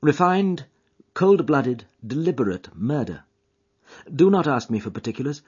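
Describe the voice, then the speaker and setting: A man's voice: a little high, big pitch swings, normal speed, breathy edge, lo-fi sound, pitched above the performer's own voice. A man voicing a keen, commanding detective who speaks in formal Victorian phrases, emphatic and urgent, in an audiobook. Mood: grave, insistent. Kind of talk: dramatised audiobook character dialogue.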